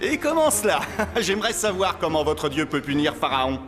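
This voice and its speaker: Haughty scornful Pharaoh acted by a man, cartoon, slightly high voice, hall reverb, music behind. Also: sing-song, normal speed. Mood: mocking. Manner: imperious, scornful. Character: haughty, scornful, stubborn male Pharaoh of Egypt. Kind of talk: animated Bible story acting.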